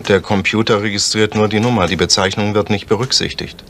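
An actor playing a businesslike bank official in a vintage crime drama, giving precise, matter-of-fact answers. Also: male voice, fairly low pitch, fairly even intonation, brisk, a bit bright. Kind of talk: 1970s German television crime drama acting. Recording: noisy vintage drama soundtrack.

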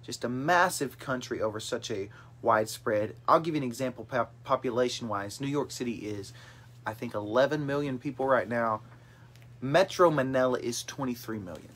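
A man's voice: mid-range voice, normal speed, fairly bright tone. A man in his late twenties hosting a casual family livestream, reading out viewer comments in an upbeat way, filmed on a phone held at arm's length in a small bedroom. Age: 20s. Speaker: host of a family livestream chat. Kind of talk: casual chat. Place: small bedroom with brothers on a couch. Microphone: phone camera held at arm's length.

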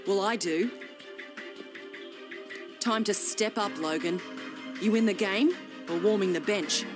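A woman's voice: mid-range voice, slow pace, over music, close to an everyday voice. An actress playing a tough, suspicious woman survivor in a dramatic video game cutscene. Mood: determined.

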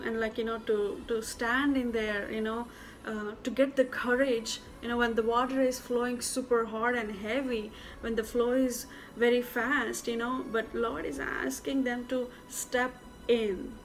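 A woman's voice: fairly high pitch, poor audio. A young woman sharing a Bible teaching to camera in an earnest, informal way with many fillers, in an indoor room. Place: indoor room with plain walls.

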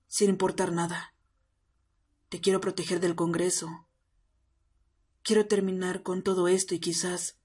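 Woman acting as young male vampire, low husky voice, devoted, possessive, intense, intimate, romantic audio roleplay.

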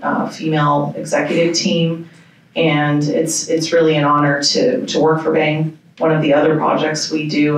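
Forties woman exec on a panel, steady businesslike, really flat delivery, echoey room. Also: low voice. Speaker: panelist, company executive. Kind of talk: panel self-introduction. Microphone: handheld mic. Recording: very echoey room, distant pickup.

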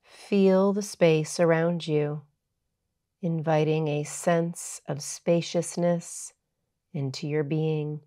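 Slow, low-voiced woman guiding meditation. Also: steady tone, dark tone. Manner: soothing, unhurried, measured instruction. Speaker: yoga teacher. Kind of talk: guided meditation narration.

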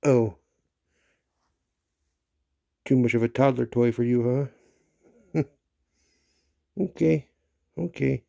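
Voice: mid-range voice, slow, vocal fry, warm tone, no room sound. A pet owner talking casually to a dog, coaxing and repeating questions in baby talk, recorded on a handheld phone in a small bedroom. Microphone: handheld phone held near the speaker.

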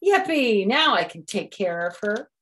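A woman's voice: mid-range voice, big pitch swings, measured pace, fairly bright tone, pitched above the performer's own voice. A woman voicing an eager, impatient young boy frog from a storybook, boastful and full of exclamations. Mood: pleased.

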